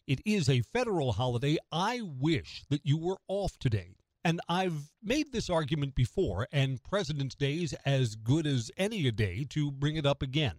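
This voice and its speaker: A man's voice: fairly high pitch, big pitch swings, normal speed, few pauses, a little mellow, no room sound. An American man hosting morning talk radio, delivering a conversational opinion monologue and putting rhetorical questions straight to the listener. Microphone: close studio radio microphone.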